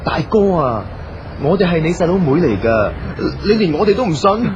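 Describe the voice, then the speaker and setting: A man with a Hong Kong Cantonese accent playing a calm, modest second brother from a business family in a radio drama. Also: sits high, normal speed, muffled recording, noisy background. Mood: urgent.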